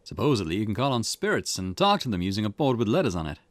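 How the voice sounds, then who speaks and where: A man's voice: fairly low pitch, big pitch swings, quick pace, no room sound. A man voicing a breezy, enthusiastic junior police detective in a visual novel, chatty and chirpy. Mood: eager, chatty.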